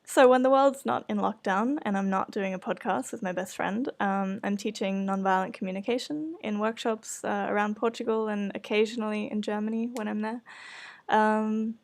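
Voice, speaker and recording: A woman's voice: mid-range voice, steady tone, normal speed, gravelly. A woman podcast host chatting casually in an earnest, hesitant, self-conscious way. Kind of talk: casual chat.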